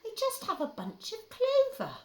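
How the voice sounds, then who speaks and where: A woman voicing small, meek storybook animals with a drawn-out, plaintive delivery. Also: very high voice, wildly swooping pitch, a little mellow, pitched above the performer's own voice, full character voice. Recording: roomy, noisy home live stream.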